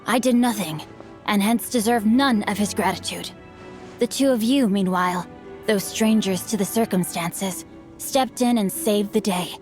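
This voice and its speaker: A woman's voice: medium pitch, a little slow, darkish tone, faint music behind, natural voice. A woman voicing a refined, bookish, slyly teasing noble teenage boy in a video game, with ornate, courtly phrasing. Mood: playfully gracious. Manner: ornate, courtly, archaic wording. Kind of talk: English video game voice acting.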